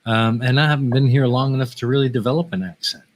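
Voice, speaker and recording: A man's voice: mid-range voice, steady tone, quick pace. A man with a Canadian accent co-hosting a casual livestream chat, easygoing, with short agreeing replies and laughs. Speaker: co-host of a livestream talk show.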